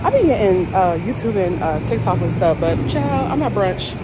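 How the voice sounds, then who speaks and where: A woman in her thirties chatting to camera as a travel vlogger, sassy and animated, talking straight to her viewers from a hotel bathroom. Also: medium pitch, normal speed, roomy sound, distant mic, very noisy. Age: 30s. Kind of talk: casual chat to camera.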